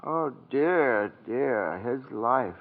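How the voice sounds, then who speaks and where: An actor playing a meek, eager, bumbling old apprentice angel in 1940s radio drama, dithering and deferential. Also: male voice, mid-range voice, animated, extremely slow, full character voice. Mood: dismayed. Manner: dithering, deferential, repeats himself. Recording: muffled vintage radio broadcast.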